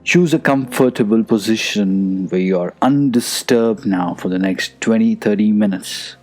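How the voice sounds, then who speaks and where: Slow, low-voiced male meditation guide. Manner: soothing, unhurried, hushed at times. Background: soft music.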